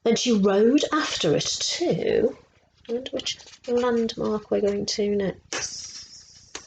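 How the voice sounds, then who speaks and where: A woman's voice: a little high, slow pace, gravelly, noisy background. A woman storyteller reading a picture book aloud to children, adding explanatory asides and putting questions to her young listeners. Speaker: resident story teller.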